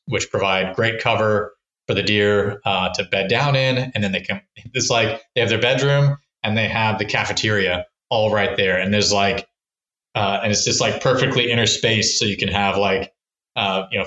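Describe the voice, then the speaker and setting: A man with a fairly low voice and a bright, forceful edge talks through his research in a loose, rambling way, often restarting his sentences. His voice echoes in a roomy space, and the audio sounds a little dull. He comes across as a researcher being interviewed on a podcast. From Texas. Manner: rambling, many restarts and fillers. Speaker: wildlife researcher, podcast guest. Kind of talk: casual explanatory interview talk.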